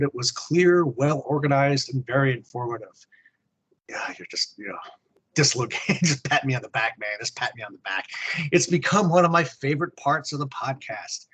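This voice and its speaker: A man with a mid-pitched, somewhat husky voice co-hosts a podcast. He moves between reading correspondence aloud and off-the-cuff, casual conversation. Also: fairly bright tone, poor audio. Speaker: podcast co-host. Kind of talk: casual chat and reading listener email aloud.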